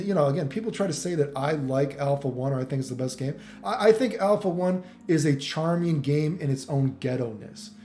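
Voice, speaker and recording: A man's voice: a little high, warm tone, reverberant. A man giving casual, off-the-cuff game commentary in an opinionated, rambling, sweary way. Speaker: gaming video commentator.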